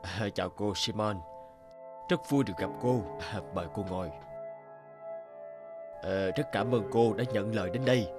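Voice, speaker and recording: A man's voice: fairly low pitch, moderate pace, long pauses, music in the background, natural voice. A man voicing a burly prison lieutenant who speaks formally and officially in a dubbed cartoon. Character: burly male prison lieutenant, stern but polite.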